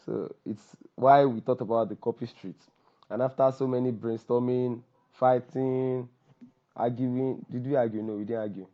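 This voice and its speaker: A Nigerian man with a voice hoarse from shouting, hosting an informal group call in a joking, self-deprecating, repetitive way. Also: mid-range voice, steady tone, moderate pace. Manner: joking, self-deprecating, repeats himself for emphasis. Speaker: workshop host and copywriter. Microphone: online call microphone. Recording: dull, lo-fi group call audio.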